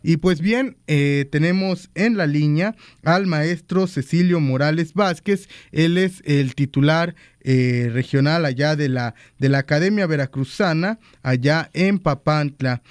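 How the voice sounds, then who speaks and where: A male radio host with a clean, resonant voice sits fairly high in his range. He greets listeners in Totonac and Spanish, often pausing on "eh" between names. His first language is Totonac, and his voice is close and dry in the studio. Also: no echo. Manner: switches between Totonac and Spanish, frequent "eh" fillers.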